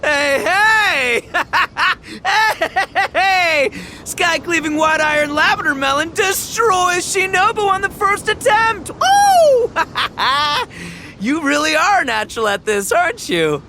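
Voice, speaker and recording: A man's voice: very high voice, big pitch swings, slow pace, noisy background, heavily acted. A man playing a brash, boastful, goofy young oni gang boss in a video game, slangy and exuberant. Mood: elated. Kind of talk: animated video game voice acting.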